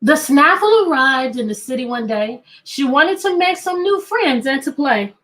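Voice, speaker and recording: A woman teacher reading a picture book aloud to children, animated and coaxing, often repeating questions to draw out answers. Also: high-pitched, normal speed. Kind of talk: interactive children's read-aloud.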